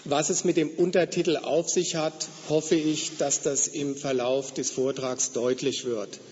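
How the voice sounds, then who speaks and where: A man with a slightly hoarse voice from a cold, giving a measured guest lecture in a hall, explaining step by step with occasional fillers. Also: on the high side, flat delivery, a little mellow, a little hiss or hum. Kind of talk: academic lecture. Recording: dull, reverberant lecture hall recording.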